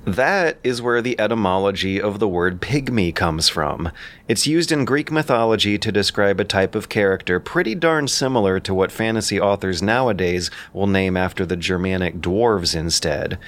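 Guy with a lowish voice doing scripted explainer commentary, measured and a bit informal. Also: expressive, a bit bright. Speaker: narrator and commentator.

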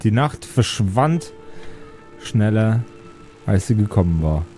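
A man's voice: on the low side, very animated, slow, mellow, soft background music. A man narrating an improvised tabletop audio drama as its game master.

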